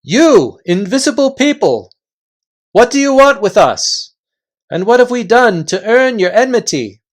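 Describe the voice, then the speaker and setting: A brave young king from a storybook, voiced by a reader. He leads decisively in slow, formal phrases with an animated pitch. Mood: commanding. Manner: formal, measured commands.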